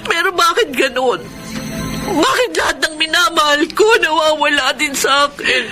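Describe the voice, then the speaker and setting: A woman's voice: high-pitched, lively intonation, moderate pace, over music. An actress playing a heartbroken young woman in a Filipino radio drama, tearful and melodramatic. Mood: anguished. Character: heartbroken young woman betrayed by boyfriend and best friend.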